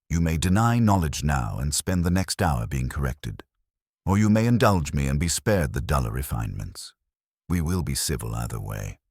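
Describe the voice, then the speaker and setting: A man's voice: extremely low pitch, a little mellow, husky, dry recording, clean studio sound, exaggerated voice. A man voicing an imperious, cerebral detective in an audiobook, formal, precise and courteously cutting. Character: imperious, cerebral middle-aged male master detective.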